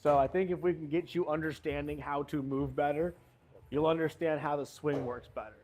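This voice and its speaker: A man's voice: on the high side, fairly even intonation, brisk, darkish tone, slight background noise. A man coaching a golf lesson, giving short cues and encouragement as the student swings. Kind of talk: hands-on golf lesson instruction.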